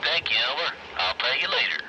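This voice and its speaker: An actor playing an easygoing neighbor, talking casually over a CB radio in a radio comedy drama. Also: male voice, mid-range voice, lively intonation, brisk. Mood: casual, matter-of-fact. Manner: casual, folksy, matter-of-fact. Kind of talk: old-time style radio comedy drama acting. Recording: noisy CB radio over vehicle sound.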